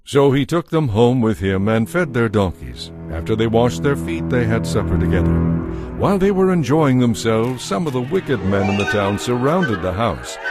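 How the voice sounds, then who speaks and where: A man narrating a dramatized Bible as a scripted story, in a measured storytelling manner. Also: medium pitch, sing-song, music in the background.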